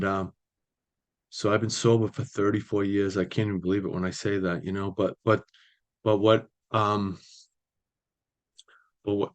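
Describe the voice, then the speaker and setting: Halting older man. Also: fairly low pitch. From Boston area, US. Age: 60s. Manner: halting, many ums and you-knows, restarts. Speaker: speaker at a recovery meeting. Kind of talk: personal recovery share. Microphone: video call.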